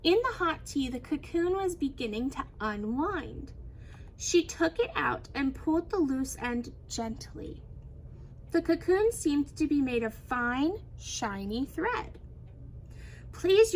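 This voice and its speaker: A woman reading a children's storybook aloud in an expressive, animated storytelling manner. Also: sits high, slight background noise, rough recording. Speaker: teacher reading a picture book aloud.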